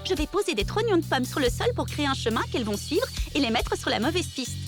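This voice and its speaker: A very high, lively cartoon teenage girl, sweet and easily worried. She pleads earnestly in a dubbed animated series, with music clearly behind her. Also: fast talker. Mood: worried, secretive. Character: sweet anxious teenage girl hiding her unicorn friend. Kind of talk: French animated series dubbing.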